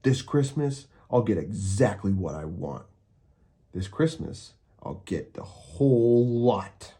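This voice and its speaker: A man's voice: fairly low pitch, sing-song, slow, mellow, full character voice. A man voicing a bratty, scheming wicked boy in a storybook, greedy and scornful, snarling and scoffing. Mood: smug, determined.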